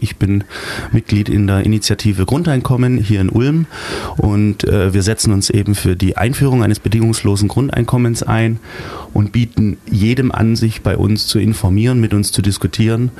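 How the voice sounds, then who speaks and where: A man sits as a studio guest on a radio talk show, laying out his ideas calmly and in precise terms. His voice is on the low side. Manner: calm, explanatory, precise wording. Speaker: studio guest, local activist. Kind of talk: radio interview. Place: small padded radio studio.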